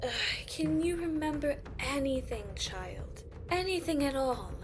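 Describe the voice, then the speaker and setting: Tribunal judge, woman actor: high, curt, impatient and imperious, fantasy audio drama with light ambient noise. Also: animated, measured pace. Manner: haughty, exasperated. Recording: faint background ambience.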